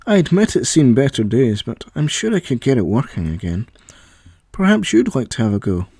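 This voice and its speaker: A man's voice: mid-range voice, sing-song, normal speed, warm tone, breathy edge, no room sound, clean studio sound. A man voicing a proud young boy inventor, easily irritated, in an audio story. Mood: boastful.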